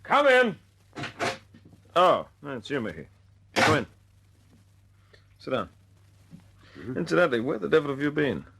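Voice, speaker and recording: A man acting in a radio drama as a young British bomber squadron leader, genial and wry, with a husky voice and a halting, conversational British delivery. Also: on the high side, sing-song, fairly bright tone, slight background noise, heavily acted. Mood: genial, teasing.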